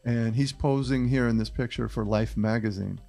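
A middle-aged man with a gravelly, fry-edged voice hosts a casual studio chat. He explains things step by step and often repeats phrases. A faint music bed sits underneath. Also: mid-range voice, normal speed, warm tone. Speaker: podcast host presenting a case. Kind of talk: casual chat, walking through photos. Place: small studio with red backdrop. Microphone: studio mic on boom arm, headphones.

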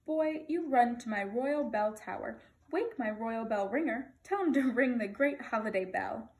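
A woman voicing an excitable, bossy, greedy storybook king in an imperious, exclamatory manner. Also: a little high, a little slow. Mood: snappish, commanding.

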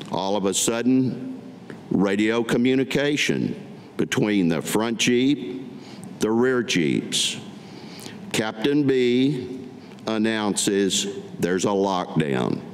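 An older man giving a staged poetry reading at a podium, deliberate and pausing between short phrases. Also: low voice. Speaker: veteran reading his own writing. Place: indoor meeting room at a podium. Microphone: podium gooseneck microphone. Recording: echoey hall with audible room noise.

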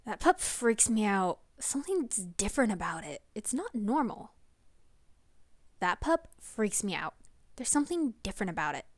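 A woman voicing a grown wolf with an uneasy, scornful, deliberate delivery for a comic dub. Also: mid-range voice, big pitch swings, no echo. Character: wary, disdainful grown wolf.

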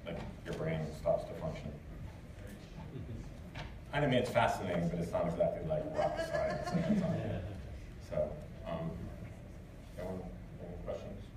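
Low-voiced man chatting in an echoey hall. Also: moderate pace, long pauses. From United States. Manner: loose and chatty, many fillers and restarts. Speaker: lecturer and translator. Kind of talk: casual Q&A after a talk. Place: lecture hall. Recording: echoey hall, distant mic, noisy.

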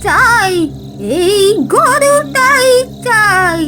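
Cartoon old witch, extremely high voice, menacing, drawn-out words, faint music behind. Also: lively intonation, heavily acted. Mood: menacing, hungry. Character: menacing old witch woman hungry for prey. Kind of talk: cartoon fairy tale acting.

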